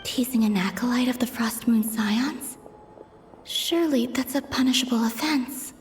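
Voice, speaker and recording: A woman playing a serene, wistful moon goddess in a video game, speaking softly and gently with detached curiosity. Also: fairly high pitch, normal speed, slight background noise, lightly acted. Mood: quietly curious. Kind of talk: scripted video game voice acting. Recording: music behind the voice.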